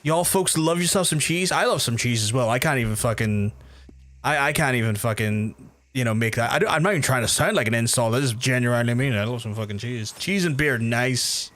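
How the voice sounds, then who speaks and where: A man with a softened Belfast accent, chatting casually and rambling as he streams a game, swearing freely. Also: mid-range voice, a little fast. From Belfast, Northern Ireland. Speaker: live streamer. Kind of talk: casual gameplay commentary and chat with viewers.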